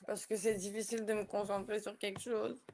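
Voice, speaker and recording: A woman's voice: mid-range voice, little pitch movement, fairly quick, darkish tone, a little hiss or hum. Woman in her twenties, blunt and offhand, slangy, on a video call. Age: 20s. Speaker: video chat stranger. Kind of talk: casual chat.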